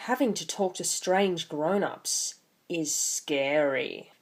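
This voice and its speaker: A woman's voice: low voice, slow pace. A woman in her twenties hosting an online video, talking casually and reading a picture book aloud with theatrical emphasis. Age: 20s.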